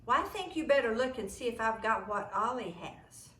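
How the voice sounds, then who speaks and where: A sly little schoolgirl troublemaker from a storybook, played by a woman reading aloud. She is cheeky and offhand, with swinging pitch and a roomy sound around her. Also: expressive, normal speed, fairly bright tone.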